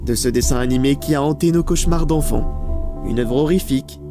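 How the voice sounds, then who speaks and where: A man's voice: mid-range voice, a little fast. A man narrating a scripted mystery documentary with dramatic, suspenseful phrasing. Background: background music.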